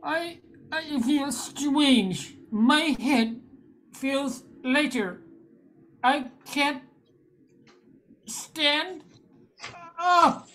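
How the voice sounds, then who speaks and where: A woman's voice: sits high, fairly bright tone, a little hiss or hum, heavily acted, low-quality recording. A woman playing a befuddled husband in a radio play, stammering and drawing out words. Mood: dazed. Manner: halting, stammering, drawn-out words. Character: befuddled, hesitant husband losing his brain cells.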